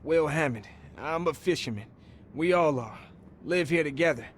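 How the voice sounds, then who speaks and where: An actor playing a dazed, anxious working-class fisherman in a video game, speaking in halting, groggy, clipped phrases. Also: on the high side, animated, a little hiss or hum, lightly acted. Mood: groggy, subdued. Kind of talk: dramatic video game voice acting.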